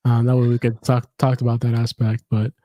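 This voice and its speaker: A man's voice: medium pitch, monotone, a little fast, mellow, no room sound. A man hosting a casual podcast chat, rambling with lots of fillers and restarts. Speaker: podcast host.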